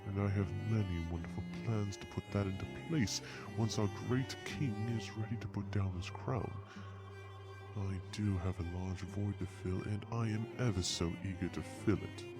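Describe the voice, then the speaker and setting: A man's voice: lowish voice, moderate pace, over music, lightly acted. A man playing a haughty, scheming dragon suitor in an audio drama, formal and condescending as he taunts. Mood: smug, menacing.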